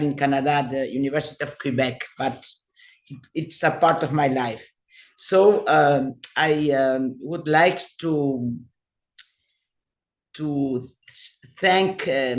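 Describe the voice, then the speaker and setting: Slow, hesitant woman panelist. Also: fairly low pitch. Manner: slow, hesitant, with many fillers and restarts. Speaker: professor and panelist. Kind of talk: panel remarks on a video call. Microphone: video call.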